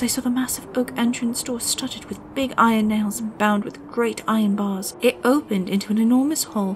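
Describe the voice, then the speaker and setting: A woman's voice: a little high, a little hiss or hum, lightly acted. Woman voicing a lonely contrary girl narrating. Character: lonely contrary young girl telling her story. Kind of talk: first-person storybook narration.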